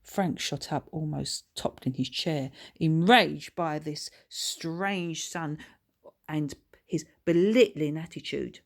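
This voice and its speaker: A woman's voice: low-pitched, takes it slowly, vocal fry. A woman narrating a children's story expressively, as an author reading from her own book.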